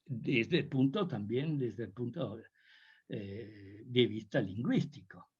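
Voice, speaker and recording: An older man from Italy with an Italian accent, a guest lecturer answering questions on a video call, speaking haltingly with many restarts. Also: mid-range voice, slightly rough. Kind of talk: Zoom talk Q&A. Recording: muffled video call audio.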